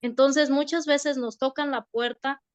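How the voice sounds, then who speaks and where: A woman offers gentle, reflective thoughts in a spiritual group discussion, her voice fairly high. The sound is dry and close, and she leans on soft fillers as she talks. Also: fairly even intonation, unhurried, dry recording, lo-fi sound. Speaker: study group participant.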